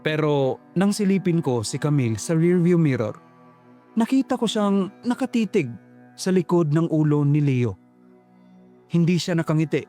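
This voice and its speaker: A man narrating a first-person horror story in a slow, ominous, reflective way. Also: fairly high pitch, expressive, dark tone, faint music behind.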